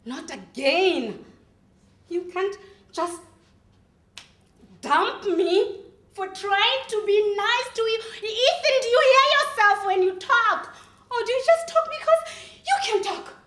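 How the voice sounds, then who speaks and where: A woman's voice: high-pitched, very animated, a bit bright, heavily acted. A woman playing a volatile, heartbroken young woman in a melodramatic acting monologue. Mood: furious, tearful. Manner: melodramatic, tearful outbursts and drawn-out pleading. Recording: echoey room.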